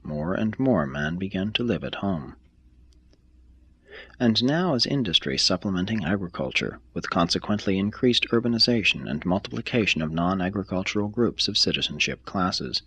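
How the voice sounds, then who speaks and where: A man reading a scripted text aloud as an audiobook narrator, with a measured, formal delivery. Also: low voice, expressive, fairly bright tone, breathy edge. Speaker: audiobook reader.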